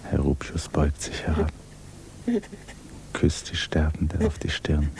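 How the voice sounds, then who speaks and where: A man narrating a 1950s radio drama in a hushed, measured, grave and restrained manner. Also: very deep voice, monotone, vocal fry, exaggerated voice. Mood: somber. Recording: noisy 1950s radio recording.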